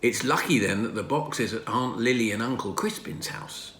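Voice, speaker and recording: A man's voice: mid-range voice, normal speed, fairly bright tone, lightly acted. A man voicing a keen-eyed, eager girl sleuth in a children's storybook. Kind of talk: children's storybook character reading. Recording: roomy home recording, faint noise.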